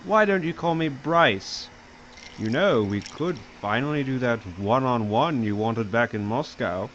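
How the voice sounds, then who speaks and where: A man's voice: medium pitch, lively intonation, a little slow, a bit bright, lo-fi sound, slight background noise. An actor playing a slick, self-assured boss and flirtatious salesman in an audio drama. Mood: smooth, flirty.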